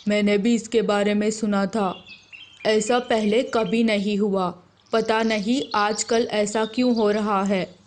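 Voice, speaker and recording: A woman playing a concerned lion king in a children's story, speaking with measured authority. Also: medium pitch, slight background noise, close to an everyday voice. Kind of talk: animated children's story character.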